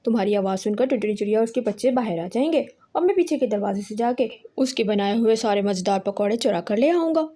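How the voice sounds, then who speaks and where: A thieving male crow from a children's cartoon, voiced by a woman. He has a high voice and talks like a henpecked schemer, always placating and plotting. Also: animated, quick pace, few pauses, mellow. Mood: placating, scheming.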